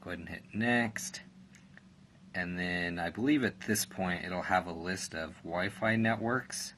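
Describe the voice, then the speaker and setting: A man's voice: low voice, unhurried, a bit bright. A man giving a casual, matter-of-fact, step-by-step tutorial as a product reviewer. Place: indoors in a room. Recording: clear background noise, room ambience.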